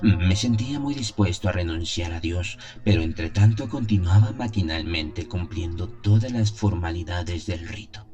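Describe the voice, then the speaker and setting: Male narrator for gothic audio fiction: very low, mellow voice, solemn theatrical delivery, music underneath. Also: normal speed, hardly any pauses. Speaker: narrator of an audio horror story. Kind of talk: dramatic scripted first-person narration of a gothic tale. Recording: music behind the voice.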